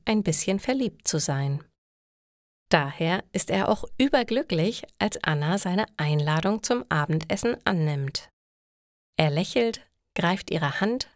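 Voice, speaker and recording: A woman reading a scripted story for language learners in a measured, careful way. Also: on the low side, no room sound, clean studio sound. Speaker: narrator.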